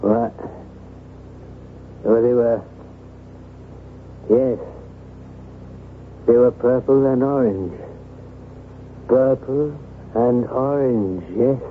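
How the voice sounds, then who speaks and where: A courtly, cautious gentleman friend in an old radio drama. He speaks slowly and politely, with careful hesitation. The recording is thin, with a clear hiss. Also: mid-range voice, phone-quality sound. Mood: uneasy, probing. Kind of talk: 1970s radio drama acting.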